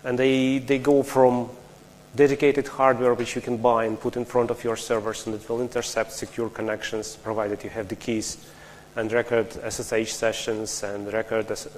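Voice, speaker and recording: A man's voice: medium pitch, flat delivery, a little hiss or hum. Man speaking in a lecture hall. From Russia. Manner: explanatory, halting with restarts, questions the audience. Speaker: conference speaker. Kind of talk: technical conference talk.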